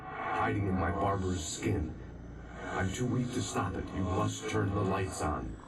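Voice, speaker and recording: A man's voice: low-pitched, unhurried, creaky. An actor playing a ghostly male figure in a video game, giving ominous, urgent warnings from a distance. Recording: distant from the mic. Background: loud music.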